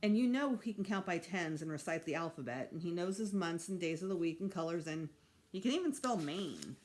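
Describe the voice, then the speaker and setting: A woman's voice: lowish voice, lively intonation, fairly quick, darkish tone, close to an everyday voice. A woman voicing a patient, reassuring mother in a storybook, coaxing and placating her child. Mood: upbeat, reassuring.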